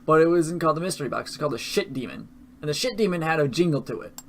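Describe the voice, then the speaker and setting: A man's voice: fairly high pitch, expressive, racing, gravelly, background noise. A young man casually commenting with profanity, mocking his own old videos.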